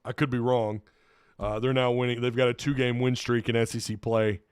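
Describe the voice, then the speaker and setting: A man's voice: medium pitch, little pitch movement, fairly quick, smooth, no echo, studio quality. A man hosting a casual solo sports recap, tired and losing his voice, rambling with frequent restarts. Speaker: sports podcast host.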